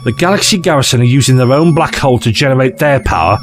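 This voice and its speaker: A man's voice: medium pitch, expressive, fast talker, soft background music, lightly acted. A man playing a glib, eccentric alien time traveller in an audio drama, flippant, theatrical and condescending. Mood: smug, indignant.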